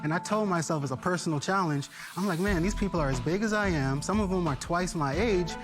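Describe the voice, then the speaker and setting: Upbeat young man from the Bahamas pitching. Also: quick pace, music in the background. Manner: upbeat, emphatic, salesman-like. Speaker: entrepreneur pitching. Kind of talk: energetic sales pitch.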